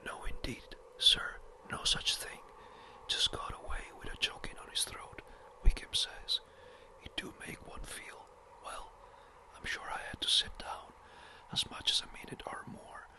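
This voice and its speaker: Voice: unhurried, close to an everyday voice, poor audio. Whispered chattering country housekeeper. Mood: agitated. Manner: breathless, chattering. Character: agitated gossiping country housekeeper, a woman.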